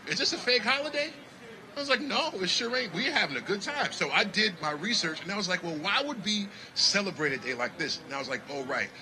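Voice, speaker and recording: A man from Connecticut, a jazz musician talking in a casual festival interview with animated, preachy energy. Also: sits high, a little fast, crowd noise, poor audio. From Connecticut, United States. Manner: animated, preachy, repeats "you know what I mean".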